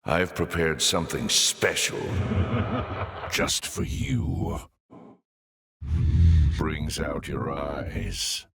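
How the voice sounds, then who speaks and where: Very deep, suave demon lord. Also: male voice, extremely slow, vocal fry, pauses often, pushed and bright, exaggerated voice. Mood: taunting, playful. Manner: mock-courteous, sly, grandiloquent. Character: suave, sardonic male demon lord, theatrical tempter. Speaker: arena master taunting the player. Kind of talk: theatrical video game villain acting. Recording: echoey hall reverb.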